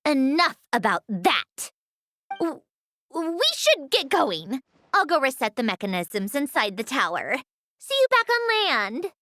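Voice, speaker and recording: Brief: cool, condescending genius, high and lively in pitch. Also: female voice, measured pace, no room sound, heavily acted. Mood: wry. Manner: dry, condescending, lecturing. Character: aloof, sardonic female puppet-maker scientist.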